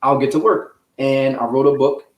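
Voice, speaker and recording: A man's voice: mid-range voice, fairly even intonation, normal speed. A man from the United States answering interview questions as a guest author, conversational, earnest and explanatory. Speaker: guest, children's author and sports journalist. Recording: echoey room sound.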